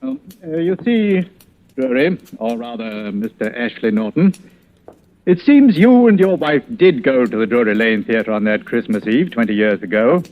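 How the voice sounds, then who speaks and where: An actor playing a kindly middle-aged police chief, measured and formal in an old radio mystery. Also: male voice, medium pitch, big pitch swings, natural voice. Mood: gentle explaining. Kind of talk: 1940s radio mystery acting. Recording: dull old radio recording.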